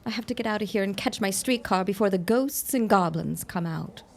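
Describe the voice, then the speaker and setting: A brusque 1910s office secretary played by a woman with a slightly husky, mid-pitched voice. She is world-weary and flirtatious, talking in curt, sardonic slang as in a period radio play. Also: fairly quick, darkish tone. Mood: irritated, sardonic. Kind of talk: period audio drama acting.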